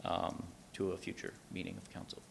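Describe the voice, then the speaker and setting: A man speaking as a municipal administrator at a formal council meeting, halting and procedural as he reports. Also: low-pitched, monotone, vocal fry. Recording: reverberant meeting room, background noise.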